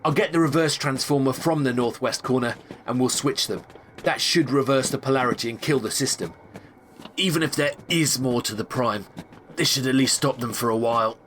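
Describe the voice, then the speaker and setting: A man's voice: mid-range voice, brisk, fairly bright tone, a little hiss or hum, lightly acted. A man playing a serious, weary sci-fi agent who gives orders in an audio drama. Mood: commanding. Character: stern, weary male sci-fi agent, battle-worn.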